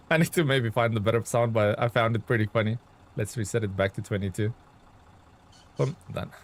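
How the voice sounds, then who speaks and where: A man's voice: fairly low pitch, moderate pace, slight background noise. A man from Lithuania chatting loosely on a live game stream, reading out and answering viewer comments with plenty of fillers. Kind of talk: casual live-stream chat.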